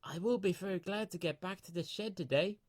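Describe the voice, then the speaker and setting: A man's voice: high-pitched, fairly quick, darkish tone, no room sound, studio quality, voice pushed up. A man voicing an earnest, eager, hardworking male tank engine. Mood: weary. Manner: earnest, puffing.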